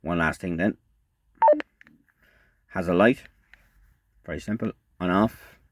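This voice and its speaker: A man giving a casual, unscripted product demonstration as a reviewer, in a halting, matter-of-fact way. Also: low voice, slight background noise.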